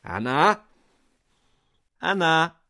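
A man's voice: on the high side, sing-song, extremely slow, creaky, bright, forceful tone, no room sound, studio quality, pitched above the performer's own voice, heavily acted. A man playing a gaunt, eccentric old nobleman lost in stargazing dreams, speaking in a distracted, startled way. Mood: calling.

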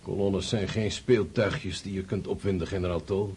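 Earnest military commander, radio drama, dry irony, mellow dark tone, breathy rasp. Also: male voice, low voice, fairly even intonation, moderate pace. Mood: sceptical. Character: earnest, dry, blunt Russian staff general. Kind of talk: radio play acting.

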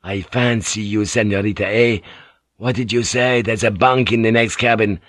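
A man reading an audiobook, voicing a crude, lewd middle-aged ship's boatswain with a loud, blustering manner. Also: on the low side, fairly even intonation, moderate pace, dry recording, studio quality. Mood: leering. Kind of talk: audiobook character voice.

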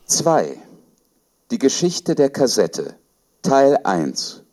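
Male title announcer, lowish, very drawn-out pacing, animated intonation. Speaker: chapter announcer.

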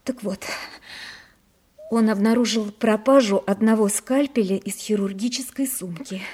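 A woman's voice: mid-range voice, measured pace, mellow, husky. An actress playing an anxious, insistent young woman in a Russian radio play, speaking with emotional urgency. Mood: confiding, hesitant. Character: anxious, insistent, jealous young woman pleading for her man.

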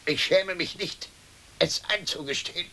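Slow, formal manservant, faint hiss. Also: male voice, high voice, pushed and bright, full character voice. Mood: craving, excited. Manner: formal, measured, drily matter-of-fact. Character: dry, deferential manservant turning into a crow. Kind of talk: stylized German radio play acting.

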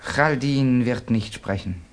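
An actor playing an anguished, guarded young student in a German radio play, speaking in a tense, halting, self-justifying way. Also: male voice, medium pitch, close to an everyday voice. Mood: guarded, nervous. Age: young adult. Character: anguished, guarded young male Russian student turned informer.

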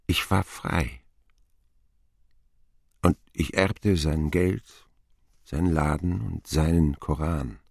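An actor playing a grown man who recalls his boyhood in measured, reflective radio drama narration. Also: a bass voice, vocal fry, darkish tone, breathy edge, no echo, studio quality, heavily acted. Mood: subdued, wistful.

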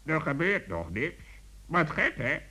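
A man's voice: a little high, wildly swooping pitch, a little slow, bright, forceful tone, breathy edge, exaggerated voice. A man playing a cheeky, impatient forest animal in a children's radio play, with a teasing, mocking manner.

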